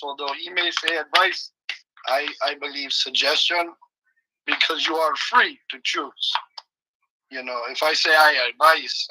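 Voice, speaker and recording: A man speaking in halting, broken English with deadpan humor, rambling as a guest co-host and then guiding a slow meditation. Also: a little high, noisy background. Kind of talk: rambling comic chat turning to guided meditation.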